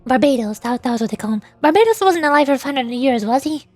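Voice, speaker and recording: A high-pitched woman game streamer who slips between casual chat and reading lore aloud. She comes across as giggly, easily puzzled and self-deprecating, with game music playing faintly behind her. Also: lively intonation, quick pace, a little mellow, dry recording.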